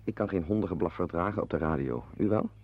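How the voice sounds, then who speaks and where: A man's voice: low voice, fast, breathy edge. An actor playing a wry, brooding henpecked husband in a Dutch radio play, confiding his musings to the listener. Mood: musing, rueful. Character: wry, brooding henpecked husband, animal-loving former artist. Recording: muffled, band-limited old radio play recording.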